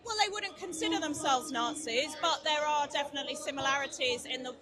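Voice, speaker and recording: High-voiced woman interviewed among a crowd. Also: brisk. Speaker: protester being interviewed. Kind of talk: street interview. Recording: crowd noise behind, outdoor interview.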